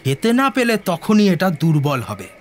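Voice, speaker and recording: A man's voice: high-pitched, huge pitch swings, moderate pace, a little hiss or hum. A man playing a harsh, determined scientist in an audio drama, speaking in an urgent, commanding manner. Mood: stern. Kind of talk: Bengali audio drama acting.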